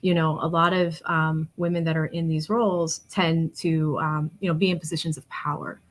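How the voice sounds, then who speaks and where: A woman's voice: low voice, flat delivery, moderate pace. A middle-aged woman co-host talking casually from personal experience, in a conversational, engaging manner that checks in with listeners.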